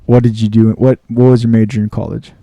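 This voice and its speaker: A man hosting a casual podcast, chiming in quickly and asking follow-up questions. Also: medium pitch, little pitch movement, dark tone, no room sound.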